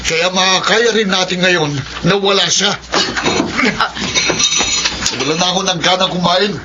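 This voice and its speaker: A man's voice: high-pitched, fairly even intonation, unhurried. A man playing a bitter, proud eldest son, cold and confrontational, in a Filipino radio drama. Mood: resentful. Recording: muffled, noisy radio broadcast.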